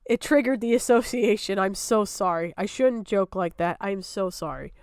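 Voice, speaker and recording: A woman's voice: mid-range voice, normal speed, no room sound. A woman streaming unscripted reaction commentary, exasperated, sarcastic and prone to swearing.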